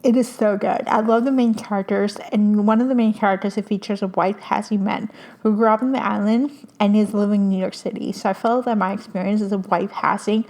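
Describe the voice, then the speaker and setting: A young woman from hosts a casual podcast, her Spanish. She talks eagerly, full of fillers and little restarts, at an ordinary mid pitch for a woman. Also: fairly even intonation, brisk, a little mellow. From Puerto Rico. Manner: enthusiastic, chatty, many fillers. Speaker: podcast host. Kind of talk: casual book recommendations.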